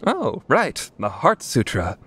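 A man's voice: mid-range voice, huge pitch swings, slow pace, fairly bright tone, slightly rough, heavily acted. A man playing an earnest, easily flustered teenage student council president in an anime fandub radio play. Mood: curious, unsure.

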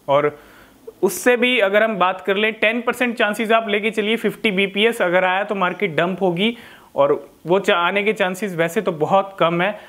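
A man's voice: high voice, fairly bright tone. A man in his thirties with an Indian accent, giving informal market commentary to camera in an explanatory way, often checking in with short tag questions. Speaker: crypto vlogger. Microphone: lapel mic.

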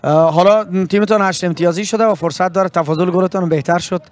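A young man with an Afghan Dari accent, a sports presenter interviewing after a match and signing off with a handheld mic outdoors. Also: high-pitched. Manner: formal, fluent broadcaster delivery. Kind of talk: post-match interview and sign-off. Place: outdoor pitchside sponsor backdrop.

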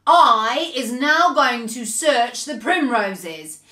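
A huge male giant from a children's storybook, acted out by a woman, brutish and menacing. He booms and taunts like a bully who eats people. The room around the voice is very echoey. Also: animated, takes it slowly, exaggerated voice. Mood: insulting, bullying. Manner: booming, shouting, taunting. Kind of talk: children's storybook read-aloud character voice.

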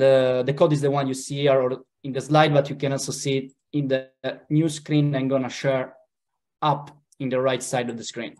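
Italian man hosting an online webinar. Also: mid-range voice. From Italy. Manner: relaxed, hesitant, with frequent "uh" fillers. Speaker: webinar host. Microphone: video call.

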